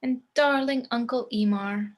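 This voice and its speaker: Gothic matriarch, a woman's read, fairly high voice, composed, stately, devoted and darkly dramatic. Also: measured pace, close to an everyday voice. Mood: grave, proud. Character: elegant macabre matriarch, devoted, grandly morbid wife. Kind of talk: script table read, character acting.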